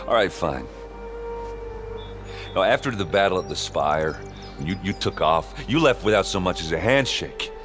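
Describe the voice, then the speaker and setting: An actor playing a gruff, wounded warrior captain in a video game, halting and sardonic, with sighs. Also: male voice, deep voice, animated, music in the background. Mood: hurt, accusing. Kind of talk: video game dialogue acting.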